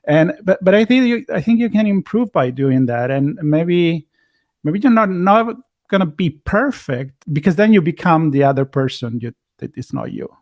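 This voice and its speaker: A man's voice: a little high, expressive, normal speed, a little mellow, dry recording, clean studio sound. A man with an Italian accent, a podcast host chatting casually in a musing, loosely phrased way. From Italy. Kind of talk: casual conversational interview.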